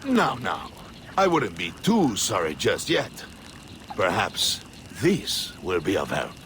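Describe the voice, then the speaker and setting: A man's voice: low-pitched, wildly swooping pitch, slow pace, a bit bright, slight background noise, heavily acted. A man playing a jovial, roguish French smuggler in a video game, with a French accent and a theatrical, exclaiming manner. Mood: sly. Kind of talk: video game cutscene acting.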